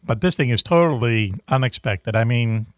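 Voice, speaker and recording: A man's voice: medium pitch, animated, normal speed, no echo. Male talk-show host with a dry, close sound; easygoing and conversational, restarts his sentences. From New England. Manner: conversational, repeats words as he starts sentences. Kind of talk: casual interview.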